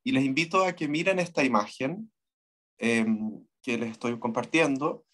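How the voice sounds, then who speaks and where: A man with a Chilean Spanish accent giving an online presentation to students, with somewhat hesitant, halting phrasing. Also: mid-range voice, a bit bright. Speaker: teacher trainer giving an online talk. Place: remote online video call from Santiago.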